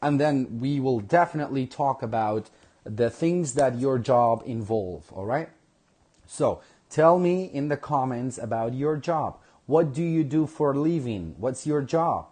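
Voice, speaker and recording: A man teaching a live online English lesson, speaking slowly and repeating phrases for his learners. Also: mid-range voice, expressive, dark tone. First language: Kurdish.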